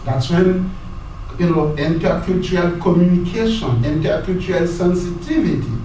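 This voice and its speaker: A man giving a university lecture, talking in a halting way and often repeating words as he searches for the next one. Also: high voice, warm tone, a little hiss or hum. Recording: very echoey room, mic far off.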